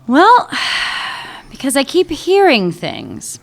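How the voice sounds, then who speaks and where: A woman's voice: high voice, wildly swooping pitch, takes it slowly, creaky, full character voice. A woman playing a sly woman police chief who teases an old lover, in a radio play. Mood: probing. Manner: teasing, needling, wry. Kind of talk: amateur radio drama acting.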